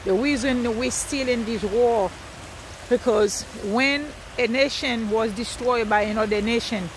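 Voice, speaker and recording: A woman's voice: on the high side, a little slow, background noise. A woman from Haiti with a Haitian accent giving an earnest spiritual talk outdoors by a river at night, repeating her phrases as she preaches.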